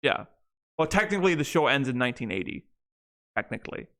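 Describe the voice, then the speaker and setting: A man's voice: mid-range voice, big pitch swings, fast, pushed and bright. A young man co-hosting a podcast, chatting casually and rambling with many restarts and fillers. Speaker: podcast co-host. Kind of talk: casual chat.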